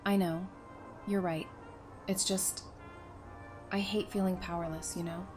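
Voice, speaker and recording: A woman's voice: on the low side, little pitch movement, a little slow, music in the background, lightly acted. A woman playing a stubborn, affectionate young mother and wife in an audio drama, casual and wry. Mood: uneasy. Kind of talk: fan audio drama acting.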